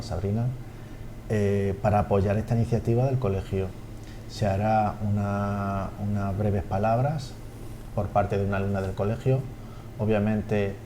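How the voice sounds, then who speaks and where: A man, a language school director interviewed on TV, speaking haltingly with repeated words and fillers. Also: deep voice, background noise. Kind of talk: TV studio interview.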